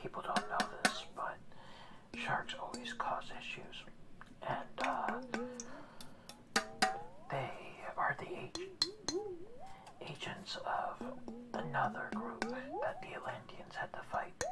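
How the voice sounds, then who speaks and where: A woman voicing an old, kindly male orca guardian in an ASMR roleplay, speaking in a soft, slow, reassuring whisper. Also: high voice, huge pitch swings, exaggerated voice. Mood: gentle whisper.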